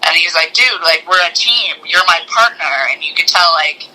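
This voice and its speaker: A man with a fairly high, very bright and forceful voice chats casually as a podcast co-host reviewing a film. The retelling is animated, slipping into quick mimicked lines, and the sound is a little thin, like radio or a phone. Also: rough recording. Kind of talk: casual movie review chat.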